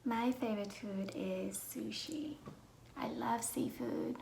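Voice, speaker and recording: A young adult woman from Vietnam with a Vietnamese accent, talking casually and softly with a breathy voice as she answers personal questions for her viewers. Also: on the high side, steady tone, slow pace. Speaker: vlogger. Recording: roomy echo, faint background noise.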